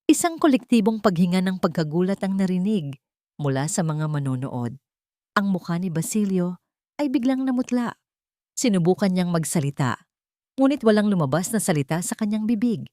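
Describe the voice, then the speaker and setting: A woman's voice: fairly low pitch, big pitch swings, normal speed, mellow, dry recording, studio quality. A woman reading a scripted, dramatic romance story as its narrator.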